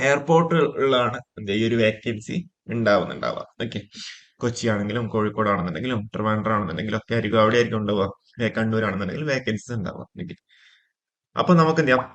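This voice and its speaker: A man's voice: medium pitch, a bit bright. A man with a Malayali accent presenting an informal coaching explainer to viewers, mixing in English terms and repeating stock phrases.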